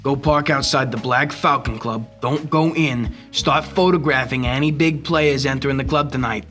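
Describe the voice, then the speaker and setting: Noir radio detective, acted by a young man: tough, terse, resolute, mid-pitched, over light music. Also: moderate pace, fairly bright tone, close to an everyday voice. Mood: firm, resolute. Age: young adult. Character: hard-boiled male private detective, tough, dry, determined. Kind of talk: 1940s radio noir acting.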